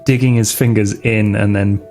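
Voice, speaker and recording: Creaky-voiced hesitant male gamer. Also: fairly low pitch, fairly even intonation, moderate pace, a little mellow, poor audio. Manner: hesitant, thinks aloud. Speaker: tabletop game player. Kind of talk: casual actual-play chat. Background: background music.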